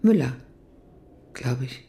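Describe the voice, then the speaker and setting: An actress playing a gravely ill East German woman in a radio drama, grieving, hesitant and guarded. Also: very deep voice, lively intonation, slow, exaggerated voice. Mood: hesitant, confiding.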